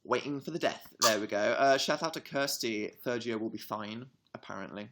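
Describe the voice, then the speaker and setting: A man's voice: fairly low pitch, normal speed, a bit bright. A man co-hosting a casual livestream, talking in a dry, teasing, sarcastic way. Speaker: co-host.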